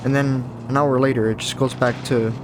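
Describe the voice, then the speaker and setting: A man chatting casually over his gameplay in a deeper, just-woke-up morning voice, rambling and correcting himself as he goes. His pitch sits in the middle, and loud game audio plays clearly behind him. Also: level tone, fairly quick, noisy background. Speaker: gaming YouTuber. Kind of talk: casual let's-play commentary.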